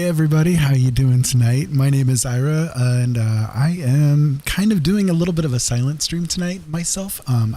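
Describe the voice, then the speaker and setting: A man casually chatting on his livestream, rambling and friendly with frequent fillers, his voice a bit hoarse. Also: fairly low pitch. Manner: relaxed, rambling, lots of ums and uhs. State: slightly hoarse. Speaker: streamer and storyteller.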